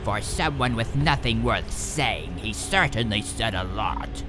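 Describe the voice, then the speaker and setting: A man's voice: on the low side, animated, a little slow. A man voicing a defiant, bitter alien general in a comic dub, stern and commanding, with music behind him. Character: battle-hardened alien general, defiant, bitter son of the emperor. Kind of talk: dramatic comic dub acting.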